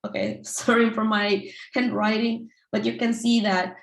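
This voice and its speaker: A woman's voice: fairly high pitch, fairly even intonation, vocal fry, roomy sound, low-quality recording. A woman in her thirties from Indonesia, a company founder giving an informal talk and often restarting her phrases. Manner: halting, restarts phrases, fillers like "like" and "you know". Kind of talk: informal presentation.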